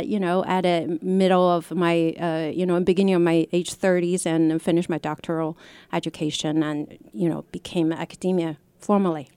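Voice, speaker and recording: A woman's voice: on the low side, darkish tone, smooth, no room sound. A middle-aged woman from China, a professor guest on a radio talk show, speaking earnestly with many restarts and fillers. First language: Chinese. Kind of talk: radio interview.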